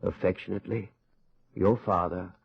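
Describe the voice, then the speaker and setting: An actor playing a fatherly older poet in a radio drama, speaking slowly and declaiming in a gravelly, husky voice. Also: sits low, steady tone, a crowd in the background. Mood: tender, grave. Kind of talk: old-time radio drama acting. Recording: dull old radio recording.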